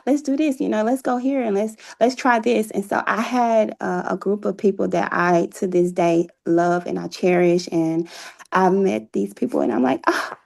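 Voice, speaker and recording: A woman's voice: mid-range voice, steady tone, moderate pace, gravelly, darkish tone. A woman speaking as an interview guest, telling her personal story in an earnest, reflective way. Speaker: interview guest, author and playwright.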